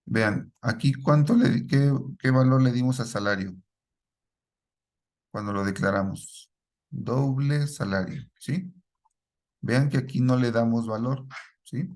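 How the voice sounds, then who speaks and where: A man leads a live programming lesson at an easy, mid-range pitch. He questions his students and echoes back what they say. Each point ends with a quick check that the class is following. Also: darkish tone. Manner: asks the class questions and repeats their answers, ends lines with a checking "¿sí?". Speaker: programming teacher.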